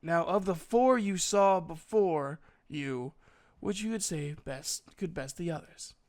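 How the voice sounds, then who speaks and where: A man voicing a proud elf mage in a storybook reading, a gruff but kindly mentor with emphatic, old-fashioned speech. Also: a little high, slow pace. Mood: amused, instructing.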